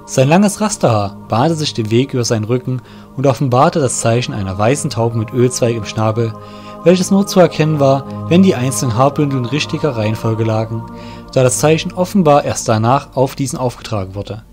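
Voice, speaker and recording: A man's voice: medium pitch, expressive, fairly quick, darkish tone. Male fantasy storyteller over music. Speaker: narrator. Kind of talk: scripted fantasy parody storytelling.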